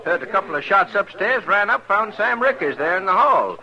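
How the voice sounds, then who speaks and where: An actor playing a fussy, indignant frontier hotel keeper in an old radio western, agitated and complaining. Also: male voice, sits high, big pitch swings, fairly quick, sharp tone, exaggerated voice. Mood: flustered. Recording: very noisy, muffled old radio broadcast.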